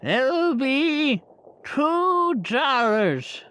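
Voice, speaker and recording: A man voicing a frail older motel clerk, frog-like, who speaks in short halting phrases. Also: extremely high pitch, big pitch swings, pushed and bright, voice pushed up, full character voice. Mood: muddled, helpful.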